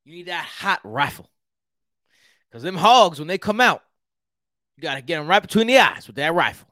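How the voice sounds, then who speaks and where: A brash, folksy Texan hog hunter, as a man puts him on with an exaggerated drawl. The voice sits higher than his usual talk and sounds close and dry. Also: sing-song, moderate pace, bright, forceful tone, no room sound. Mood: boastful.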